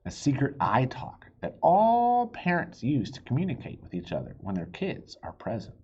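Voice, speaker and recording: A man reading a children's story aloud in an expressive, theatrical way, with chatty asides to young listeners. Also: mid-range voice, moderate pace, breathy edge, dull audio. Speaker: children's book reader.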